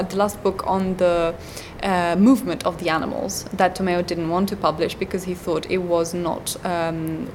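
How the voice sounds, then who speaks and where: A woman's voice: medium pitch, slight background noise. Hesitant woman scholar, frequent ums. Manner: hesitant, many ums and uhs. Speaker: guest scholar. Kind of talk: academic interview.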